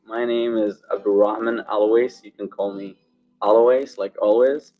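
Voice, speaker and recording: Young saudi guy, lowish voice, student testimonial, soft music behind. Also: steady tone, moderate pace, narrow, tinny audio. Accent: Saudi Arabian. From Saudi Arabia. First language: Arabic. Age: young adult. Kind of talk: unscripted testimonial. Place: indoor lounge or office. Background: faint music.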